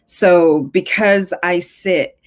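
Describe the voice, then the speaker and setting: Low-voiced woman on a panel. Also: very slow. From Zambia. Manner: thoughtful, with frequent fillers and restarts. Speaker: panelist and tech founder. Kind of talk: panel discussion.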